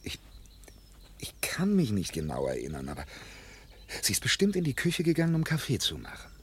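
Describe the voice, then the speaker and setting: An actor playing an anxious, distraught accused widower in a German radio crime drama, halting and stammering as he protests. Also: fairly low pitch, huge pitch swings, slight background noise. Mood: anxious, troubled. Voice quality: husky, breathy. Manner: halting, stammering, emotionally agitated.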